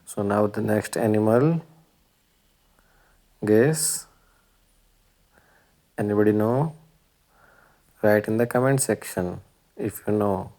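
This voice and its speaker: Slow-talking low-voiced man teaching small children. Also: warm tone. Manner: short simple phrases with pauses, prompting listeners. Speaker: presenter of a children's learning video. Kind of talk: simple educational narration for young children, asking questions.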